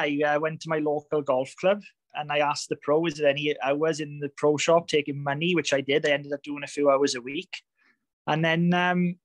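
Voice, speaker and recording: A man's voice: a little high, a bit bright, dry recording. A man in his thirties with a Welsh accent, chatting casually as a podcast guest over a video call, laughing often and filling with ums. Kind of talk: casual storytelling chat.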